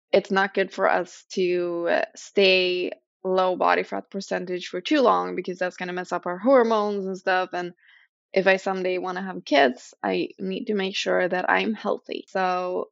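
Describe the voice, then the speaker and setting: A young Swedish-accented woman hosts a podcast in casual English, her voice carrying a gravelly fry. She rambles and hesitates, leaning on fillers as she talks. The recording is dry and close, with a slightly dull, lo-fi sound. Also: fairly low pitch, flat delivery, normal speed, no echo. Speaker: podcast host.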